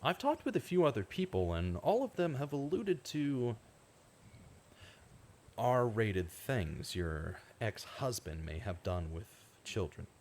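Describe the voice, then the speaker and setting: A man playing an earnest, troubled investigator in a radio drama, with a deliberate, dramatic delivery. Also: medium pitch, sing-song, a little mellow, slight background noise. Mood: earnest questioning. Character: earnest, troubled male investigator, bitter at injustice. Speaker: narrator and investigator in an audio drama. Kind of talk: scripted radio drama acting with monologue narration.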